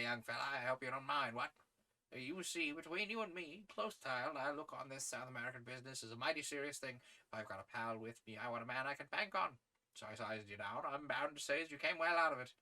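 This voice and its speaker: A man voicing a hearty, daring aristocratic English gentleman adventurer from a storybook, with jaunty, slangy upper-class phrasing. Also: mid-range voice, poor audio. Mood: jovial, confiding.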